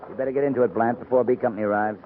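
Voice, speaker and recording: An actor playing a gruff, stern but fair cavalry captain in a 1950s radio western, giving clipped orders with dry authority. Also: male voice, mid-range voice, steady tone, very fast, lightly acted. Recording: tinny 1950s radio recording, faint background noise.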